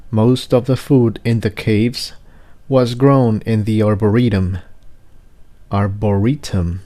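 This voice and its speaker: A man narrates fiction in a fairly low, dark and mellow voice. He takes his time over the scripted text, measured, with an occasional stumble. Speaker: amateur fan fiction audiobook reader.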